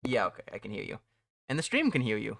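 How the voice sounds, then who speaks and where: A man's voice: on the low side, huge pitch swings, fast talker, creaky, fairly bright tone, no echo. A young man chatting casually on a live stream from home, rambling, laughing often and restarting his sentences. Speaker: streamer and mod developer.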